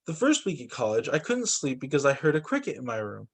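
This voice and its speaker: A man reading a children's storybook aloud as a teacher, with expressive narration and acted-out dialogue. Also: mid-range voice, fast, husky. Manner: animated, acts out dialogue for children.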